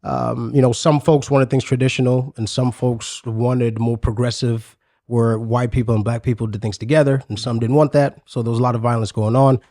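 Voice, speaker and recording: A man from South Africa, a podcast guest, telling his life story in a relaxed, hesitant, reflective way. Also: medium pitch, a little fast, dry recording, studio quality. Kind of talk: casual interview.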